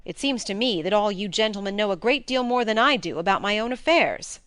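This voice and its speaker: A woman voicing a brisk, blunt young baronet in an audiobook, with emphatic phrasing. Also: mid-range voice, animated, fairly bright tone, clear, resonant tone, no echo, close to an everyday voice. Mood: puzzled, blunt. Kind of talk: audiobook character reading.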